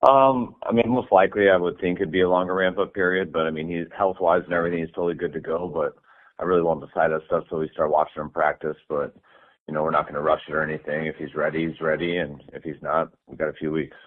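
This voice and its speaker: A man, a head coach, answering reporters' questions on a conference call in a relaxed way, with many fillers. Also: very deep voice, fast talker. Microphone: phone. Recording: phone-line audio.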